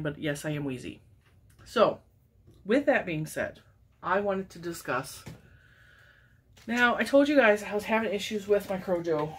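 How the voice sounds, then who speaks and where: A wheezy, congested woman in her forties talks casually to camera from her craft room, her voice sitting a little low. She laughs often and chats like she is catching up with her crochet viewers. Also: slightly rough. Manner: chatty, laughs often, animated hand gestures. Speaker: crochet channel host. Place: home craft room lined with yarn shelves.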